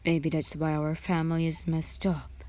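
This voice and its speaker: A woman's voice: sits low, little pitch movement, moderate pace, darkish tone, lightly acted. A woman voicing a bitter, defiant young male assassin who scoffs sullenly, in an anime fan fiction reading. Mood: sarcastic.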